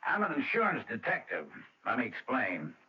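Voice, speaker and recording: A man's voice: medium pitch, wildly swooping pitch, moderate pace. A man acting in a radio drama as a smug, dogged insurance detective with slangy, insinuating tough talk. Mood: businesslike, sly. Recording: muffled old radio recording.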